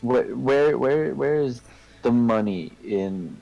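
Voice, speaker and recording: A man's voice: medium pitch, animated, slow pace, gravelly, dull audio. A man casually explaining his point in a discussion, often checking in with a "right?" or "okay?" as he goes. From Canada. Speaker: participant in a group discussion. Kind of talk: casual debate, chat.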